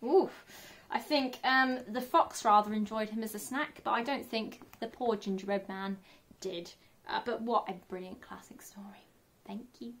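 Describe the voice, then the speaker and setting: A woman's voice: a little high, moderate pace, fairly bright tone. A young woman reading a children's storybook expressively as a teacher, recorded indoors a short way from the camera. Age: young adult. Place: small indoor room, sitting on a bed.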